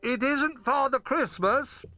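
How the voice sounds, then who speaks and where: A man's voice: very high-pitched, slow pace, bright, forceful tone, faint music behind, pitched above the performer's own voice, exaggerated voice. A man voicing a friendly, helpful toy with horns who sounds cheerful and upbeat.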